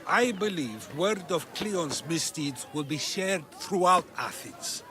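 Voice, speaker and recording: A man's voice: fairly high pitch, lively intonation, slow, noisy background. A man playing an eloquent, probing ancient Greek philosopher in video game dialogue, theatrical and full of rhetorical questions. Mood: hopeful. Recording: music under the voice.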